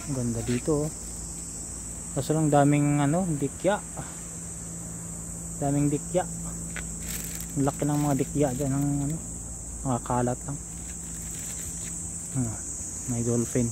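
A Filipino man in his thirties with a Filipino accent, strolling a seaside park path and pointing things out to his viewers. His delivery is slow and level, with little rise or fall in pitch. Insects and outdoor ambience are clearly heard behind his voice. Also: fairly even intonation, noisy background. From Philippines. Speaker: vlogger. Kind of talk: casual walking tour vlog. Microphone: phone held at arm's length.

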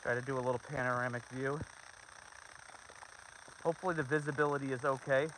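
A man's voice: medium pitch, fairly even intonation, brisk. A middle-aged man chatting casually and informally to viewers and companions while livestreaming a walk outdoors on a handheld phone. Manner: informal, talks to viewers, son and dogs. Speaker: livestreamer on a family dog hike. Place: outdoors on a snowy trail. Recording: outdoor phone recording with clear background noise.

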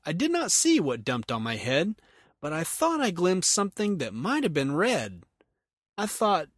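A man's voice: high-pitched, a bit bright, dry recording, studio quality. A man hosting a casual solo podcast in a jokey, self-deprecating manner. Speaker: podcast host.

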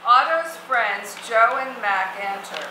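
A woman's voice: fairly high pitch, animated, takes it slowly. Woman narrator in an echoey theatre. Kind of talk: scripted stage-direction narration. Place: theatre stage, seated, distant camera mic. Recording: echoey theatre, noisy background.

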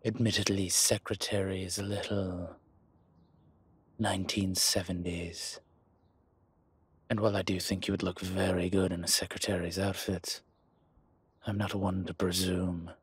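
Man playing a husky, slow-talking dragon mob boss. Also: deep voice, level tone, exaggerated voice. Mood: sly, persuasive. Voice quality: husky, breathy. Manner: measured, smooth-talking, deliberate pauses. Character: suave, scheming male dragon mob boss. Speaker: audio roleplay performer. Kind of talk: slow, intimate scripted roleplay monologue.

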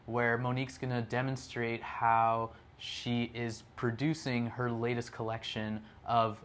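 An energetic young man hosting a relaxed interview, talking at an average pitch with easy, upbeat enthusiasm. He is close on a lapel mic in a small indoor studio. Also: measured pace. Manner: upbeat, energetic, laughs often. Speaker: host. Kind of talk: casual interview.

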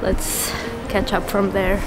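Young female vlogger, casual and chatty, talking over clear music. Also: mid-range voice, monotone, takes it slowly, rough recording. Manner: chatty, laughs between phrases, many fillers. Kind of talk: casual vlog talk to camera. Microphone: handheld camera close to the face.

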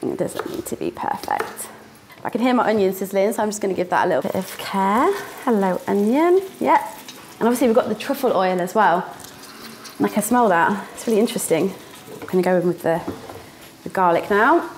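A woman's voice: medium pitch, animated, fairly quick, slight background noise. A young woman with a northern English accent, chatting playfully as she cooks, laughing often and making little sound words. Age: young adult. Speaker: student cooking presenter. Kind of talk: casual cooking demonstration. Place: small indoor kitchen.

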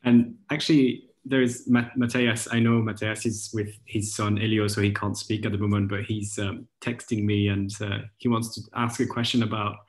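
A man's voice: lowish voice, flat delivery, moderate pace, few pauses. Young man moderating an online talk.

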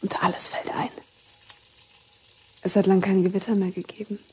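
A woman playing an anxious, haunted grown daughter with a breathy voice in a radio drama. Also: medium pitch, flat delivery, normal speed. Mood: haunted dread. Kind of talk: German radio drama acting.

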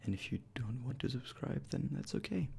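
A man's voice: mid-range voice, lively intonation, fairly quick, gravelly, darkish tone, a little hiss or hum. A young man doing close-up whispered ASMR, repeating words and short phrases over and over into a close microphone. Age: young adult. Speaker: ASMR video creator, host. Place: small bedroom, indoors. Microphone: close desk microphone with foam windscreen.

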